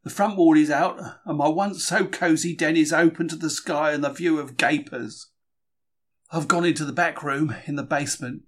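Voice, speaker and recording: Male actor as wartime Cockney bookseller diarist, fairly high-pitched, gutsy, expressive, confiding. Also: moderate pace, lightly acted. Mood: resolute. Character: gutsy, emotional working-class London bookseller diarist in wartime. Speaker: reader. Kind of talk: dramatised diary reading.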